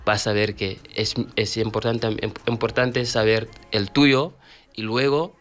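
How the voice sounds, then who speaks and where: A man with a Fula accent, a native Fula speaker, talking as a radio interview guest, halting with frequent restarts and repeated words. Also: fairly low pitch, fairly even intonation, fairly bright tone. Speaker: guest, author of a Fula learning book.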